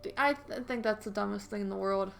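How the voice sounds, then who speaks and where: A woman's voice: medium pitch, fast, gravelly, faint music behind. A woman co-hosting a podcast in casual banter, with a blunt, argumentative way of talking. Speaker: podcast co-host.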